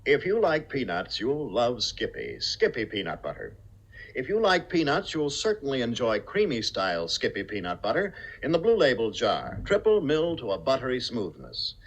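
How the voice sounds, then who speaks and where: A man's voice: mid-range voice, wildly swooping pitch, moderate pace. A man working as a radio announcer in a polished, formal 1950s style, reading scripted copy.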